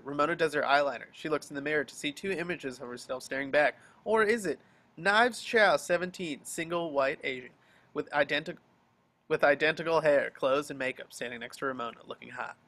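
A man's voice: fairly high pitch, fairly quick, a bit bright. A man narrating scripted action and scene headings for an amateur table read. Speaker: stage-direction reader. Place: home, group video call.

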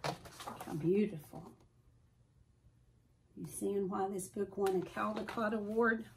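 A middle-aged woman reading a children's story aloud with deliberate, expressive emphasis. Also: mid-range voice, slight background noise. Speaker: storybook reader. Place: small furnished room at home.

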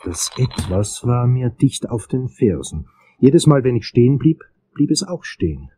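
A man playing a hard-boiled investigator, narrating a crime audio drama in a husky, dramatic, suspenseful way. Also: mid-range voice, very animated, a little slow, warm tone, slight background noise. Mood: tense, brooding. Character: hard-boiled male investigator telling his dark case.

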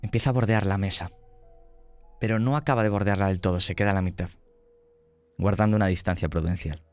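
A man with a low voice narrates a tabletop horror game as its game master. Faint music plays behind him. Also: fairly quick, darkish tone.

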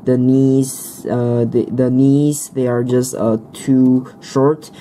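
Man, toy reviewer, casual, halting with fillers, very dark voice, faint background noise, indoor tabletop. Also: mid-range voice, level tone, poor audio. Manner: hesitant, many fillers and restarts.

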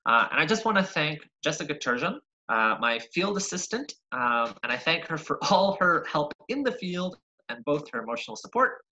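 A young man from Argentina giving an online science seminar over a video call, lively and full of ums and uhs, often restarting words. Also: fairly high pitch, moderate pace, poor audio. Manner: lively, frequent ums and uhs, self-correcting. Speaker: graduate researcher giving a seminar. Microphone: webcam on a video call.

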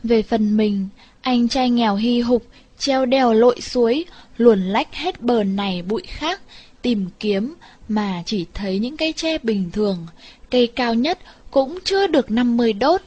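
Female storyteller for scripted folk tales, higher-pitched voice, expressive delivery. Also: a little slow. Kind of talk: scripted fairy tale narration.

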